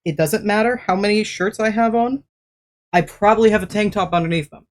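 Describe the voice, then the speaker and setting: A chatty streamer who talks casually into a desk mic, rambling from one small thing to the next and laughing easily. Being a bit sick has made the voice sit deeper than usual, though the pitch stays in a middle range. The speaker keeps greeting and thanking people in chat between thoughts. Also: a little fast. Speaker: game streamer.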